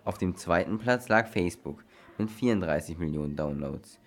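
Man, low pitch, children's radio presenter, scripted factual report. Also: animated, normal speed.

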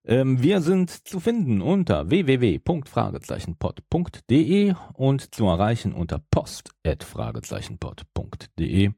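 A man's voice: medium pitch, huge pitch swings, normal speed, creaky, hardly any pauses, mellow, breathy edge, dry recording, studio quality. A man, chatting casually as a podcast co-host. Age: 40s. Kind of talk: casual chat about audio dramas.

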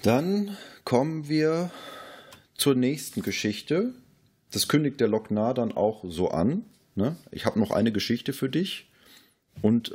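A man's voice: medium pitch, a little mellow, husky. A man co-hosting a film podcast, moving between casual talk and prepared summaries. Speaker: podcast co-host.

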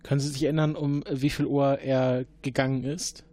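A man playing a formal agent interrogating a witness in an improvised audio drama, halting and full of fillers. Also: medium pitch, little pitch movement, darkish tone, lightly acted. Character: formal male time-travel agent questioning a witness.

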